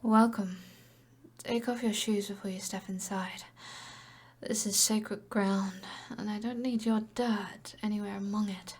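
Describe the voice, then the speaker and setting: Evil sorceress played by a woman, British accent, husky half-whisper, slow and possessive, alluring ASMR delivery. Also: medium pitch, steady tone. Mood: commanding, alluring. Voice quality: breathy, whispery. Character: alluring, commanding evil sorceress, a seductive witch. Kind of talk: ASMR roleplay.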